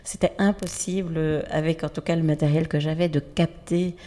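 A woman's voice: sits low, steady tone, normal speed, mellow, big-room sound. A woman thanking partners and introducing a podcast, hesitating with many fillers. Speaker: project leader. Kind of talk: thank-you speech and introduction.

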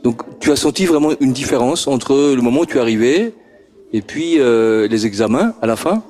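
A man's voice: mid-range voice, expressive, moderate pace, a little mellow. A man interviewing children at a homework-help group on a handheld mic. He stammers, repeats words and chuckles often. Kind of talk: friendly questioning of children. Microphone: handheld microphone passed around.

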